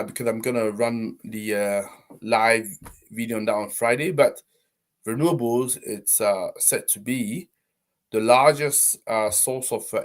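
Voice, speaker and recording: A man hosting a live stream, talking casually and warmly to viewers, rambling with many fillers and restarts. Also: medium pitch. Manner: friendly, encouraging, addresses viewers directly. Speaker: host of a live business stream.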